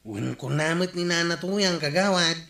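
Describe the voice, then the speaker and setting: A teasing, talkative villager in a Filipino radio comedy drama. His Filipino-accented voice sits fairly high and bright, with a clear room echo. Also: moderate pace, soft background music. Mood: gossipy.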